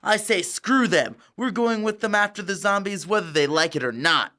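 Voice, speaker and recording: A woman's voice: medium pitch, moderate pace, sharp tone, natural voice. A woman playing a gung-ho, easily spooked teenage boy in an audio drama, brash and blustering. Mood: defiant.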